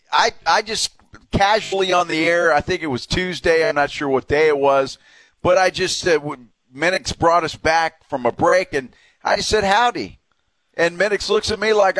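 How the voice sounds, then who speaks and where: A man's voice: on the high side, lively intonation, normal speed, fairly bright tone, no room sound. An American man co-hosting sports radio, chatting in casual, teasing banter. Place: radio studio.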